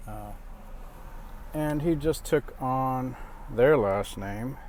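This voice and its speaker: A man talking in a casual, rambling monologue, pausing often and restarting his sentences. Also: medium pitch. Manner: halting, many pauses and restarts. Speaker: vlogger. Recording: steady outdoor traffic noise.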